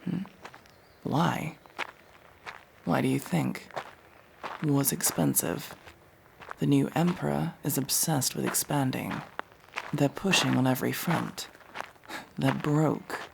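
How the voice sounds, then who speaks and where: A woman playing a gruff, guarded, world-weary elf in a fantasy ASMR roleplay, curt and bossy with hushed delivery. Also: extremely low pitch, takes it slowly, long pauses, heavily acted. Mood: bitter, warning. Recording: faint background noise with ambience.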